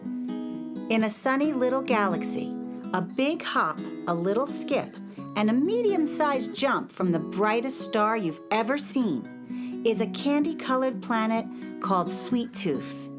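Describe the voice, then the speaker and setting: Female narrator for children's audio series; slightly high, very lively expressive storytelling, guitar underneath. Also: measured pace. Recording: guitar music behind the voice.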